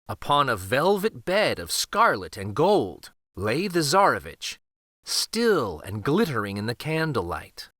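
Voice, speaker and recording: Slow, animated male storybook reader. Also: fairly high pitch, dry recording, clean studio sound. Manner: deliberate, dramatic. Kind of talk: expressive storybook read-aloud narration.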